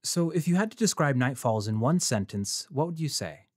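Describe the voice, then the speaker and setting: A man playing a measured, curious journalist narrator. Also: mid-range voice, lively intonation, slightly rough, no room sound, clean studio sound. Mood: measured narration. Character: male freelance journalist narrating his visit, curious, polite. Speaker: narrator and interviewer. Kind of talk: scripted first-person audio drama narration.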